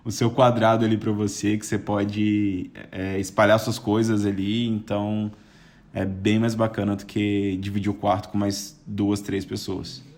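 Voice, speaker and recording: A man's voice: fairly low pitch, flat delivery, unhurried, a little mellow. A young Brazilian man as a podcast guest, chatting casually with lots of restarts and the odd laugh. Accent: Brazilian Portuguese.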